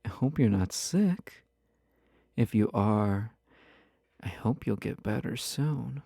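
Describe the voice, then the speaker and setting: A man playing a slow-talking, flirty yandere husband. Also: on the low side, lively intonation, mellow, no room sound, clean studio sound, full character voice. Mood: teasing. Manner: teasing, coy, smooth-talking with mock innocence. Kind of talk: intimate roleplay audio addressed to the listener.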